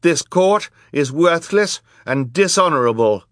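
A fierce young orphan girl in a storybook, acted by a male narrator. The voice is lifted high and pleads forcefully, in a very dry recording. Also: expressive, unhurried, no room sound.

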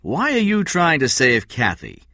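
A man reading a story and voicing a brisk, determined police officer who speaks firmly. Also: on the high side, huge pitch swings, bright, forceful tone, no echo, clean studio sound, voice pushed up, exaggerated voice. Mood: stern. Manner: brisk, firm.

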